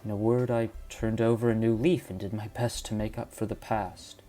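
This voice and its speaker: A low-voiced old landowner, played by a man, makes a grave confession in an audio drama. He is bitter and desperate, speaking in formal, old-fashioned phrases over music. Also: little pitch movement, normal speed, dark tone, natural voice. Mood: remorseful, wistful. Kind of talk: amateur audio drama acting of a Victorian detective story.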